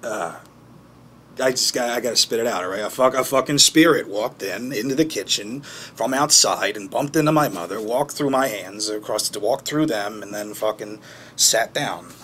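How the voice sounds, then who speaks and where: A man playing a haunted, bitter man who recounts childhood trauma in a halting, foul-mouthed documentary-interview monologue. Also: on the low side, fairly bright tone, slight background noise, natural voice. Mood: agitated, haunted. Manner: halting, foul-mouthed, with pauses and emphatic bursts. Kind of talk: naturalistic dramatic monologue in interview form.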